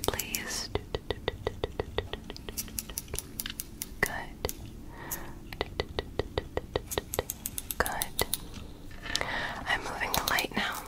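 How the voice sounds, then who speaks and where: A young woman playing a calm, curious female alien medical examiner in an ASMR roleplay, in a soft, breathy whisper with a gentle clinical manner. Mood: calm, instructing. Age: young adult. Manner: slow, gentle, clinical, politely instructing. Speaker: ASMR performer. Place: small bedroom set, indoors. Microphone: close microphone.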